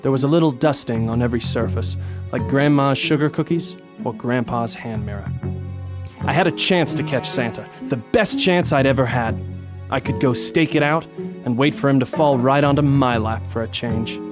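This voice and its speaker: A man playing a determined, hard-boiled police detective in a noir radio drama, narrating and speaking in a terse, deadpan way. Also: medium pitch, normal speed, close to an everyday voice. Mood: grim, determined. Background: music.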